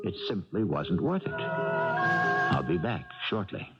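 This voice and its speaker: A male host narrates a vintage radio mystery in a deep voice. His delivery is rhetorical and theatrical, with lively rises and falls. The old broadcast sounds dull. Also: slow, loud music behind the voice.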